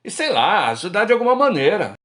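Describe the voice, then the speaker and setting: A man's voice: high voice, very animated, brisk, fairly bright tone. A man with a Brazilian accent playing a tipsy middle-aged friend, talking colloquially and frankly in a radio drama. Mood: regretful. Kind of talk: radio drama acting, casual bar chat.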